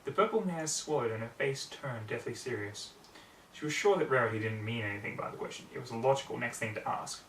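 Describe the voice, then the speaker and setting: A man narrates a written story in a medium-pitched voice. The recording is roomy, with the mic some way off and faint noise behind him. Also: fast, a bit bright, distant mic.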